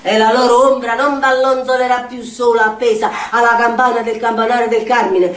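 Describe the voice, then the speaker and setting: Casting: woman as sardonic Neapolitan street outcast, theatrical and muttering, highish voice, roomy noisy stage recording. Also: steady tone, brisk, far from the mic, natural voice. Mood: vengeful, mocking. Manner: rambling, muttering, sardonic, swinging into chant. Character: bitter, mocking, eccentric Neapolitan slum outcast. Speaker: stage actor. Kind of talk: theatrical monologue in dialect.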